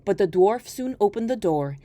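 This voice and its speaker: A woman storyteller reading a folktale aloud in an expressive, scripted narration style. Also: mid-range voice, moderate pace, no room sound.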